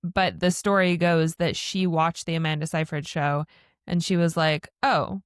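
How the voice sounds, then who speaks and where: A woman with a low voice co-hosting a casual podcast. She talks in a relaxed way and thinks aloud with fillers as she goes. The sound is close and dry, with a lowish pitch and no room echo. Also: measured pace, smooth. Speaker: podcast co-host. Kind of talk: casual chat.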